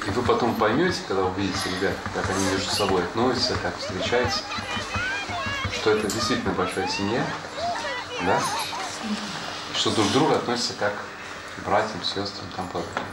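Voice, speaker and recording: A man with a fairly low voice, Portuguese by birth, speaks to a class of children in an echoey classroom with plenty of background noise. He explains patiently and keeps asking them questions back. It is a relaxed, unscripted chat. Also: a little fast, pauses often. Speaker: former student visiting a class. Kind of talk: casual talk with schoolchildren. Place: school classroom.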